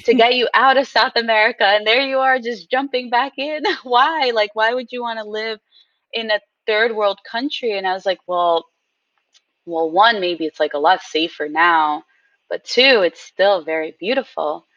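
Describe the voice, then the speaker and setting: A woman guest telling stories in a casual interview, animated and acting out what people said. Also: on the high side, moderate pace, no room sound, distant mic, dull audio. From Queens, New York. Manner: animated storyteller, acts out quotes. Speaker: guest, game company founder.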